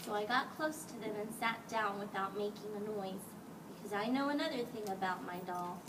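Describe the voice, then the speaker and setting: A woman's voice: mid-range voice, normal speed. A woman reading a picture book aloud to children as a teacher, expressively and acting out the dialogue. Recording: distant mic, clearly noisy background.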